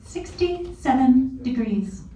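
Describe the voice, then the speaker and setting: Woman as a slow, blunt virtual assistant. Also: high voice, expressive, dark tone. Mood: cool, detached. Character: cool, blunt female smart-speaker virtual assistant. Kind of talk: live table read of a comedy screenplay. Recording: echoey room, distant mic, faint noise.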